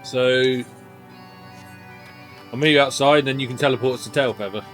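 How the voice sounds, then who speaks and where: A man's voice: mid-range voice, moderate pace, fairly bright tone, faint music behind. A man chatting casually and playfully as he streams a video game. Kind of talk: casual game chat and voiced read-aloud of dialogue.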